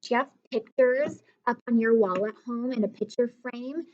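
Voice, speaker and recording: A woman teacher reading a story aloud to children, expressively, pausing to instruct and question her young listeners directly. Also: on the high side, darkish tone, lo-fi sound. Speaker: elementary school teacher.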